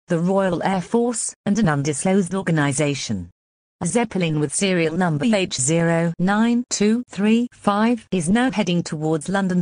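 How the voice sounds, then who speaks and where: A woman's synthetic voice reading scripted emergency announcements in a measured, impersonal way. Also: fairly low pitch, a little mellow, dry recording.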